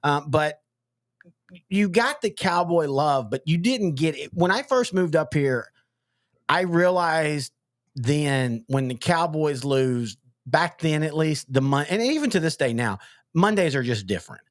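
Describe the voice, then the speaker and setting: A man's voice: a little high, expressive, measured pace, no room sound. Man, podcast host, rambling, repeats words, dry studio sound. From East Texas. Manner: rambling, frequent restarts and stammered repeats. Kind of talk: casual sports talk.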